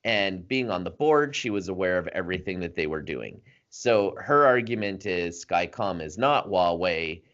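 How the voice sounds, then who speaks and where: A man's voice: low-pitched, expressive, normal speed, few pauses, fairly bright tone. A man co-hosting a podcast, explaining a news story in a casual chat with frequent ums and uhs. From Canada. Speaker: podcast co-host. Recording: dull lo-fi podcast audio.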